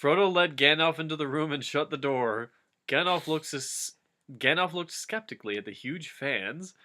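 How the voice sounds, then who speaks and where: A man's voice: fairly high pitch, moderate pace, bright, forceful tone. A man doing a comic dramatic reading with casual, jokey commentary, easily amused by the story. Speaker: reader and commentator.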